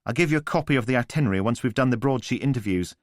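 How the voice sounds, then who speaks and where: A man voicing a brisk, pushy young female publicist with an Estuary accent. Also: mid-range voice, expressive, dry recording, clean studio sound, pitched above the performer's own voice, natural voice. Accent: Estuary English. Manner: brisk and businesslike.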